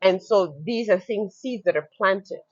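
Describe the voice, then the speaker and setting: A woman's voice: medium pitch, measured pace, darkish tone, no echo. A woman from Nigeria with a Nigerian accent, a guest on a podcast interview, talking earnestly and reflectively and repeating her key points. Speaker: fashion entrepreneur guest. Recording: dull lo-fi sound.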